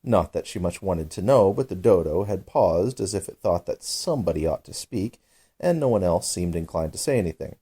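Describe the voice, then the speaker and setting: A man's voice: low-pitched, animated, normal speed, warm tone, slightly rough. A man narrating a children's bedtime story as a storybook reader.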